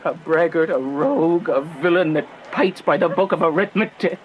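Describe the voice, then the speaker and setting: A man's voice: on the high side, moderate pace, slight background noise, natural voice, rough recording. An actor playing a witty, fiery young nobleman in a Shakespearean radio drama, theatrical and mocking. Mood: bitter joking.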